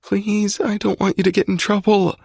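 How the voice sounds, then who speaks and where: A man's voice: high-pitched, flat delivery, moderate pace, vocal fry, a little mellow, slightly rough, no echo, studio quality, pitched above the performer's own voice, heavily acted. A man voicing a frightened young woman who pleads and panics in an audiobook. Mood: pleading. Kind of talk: audiobook character voice.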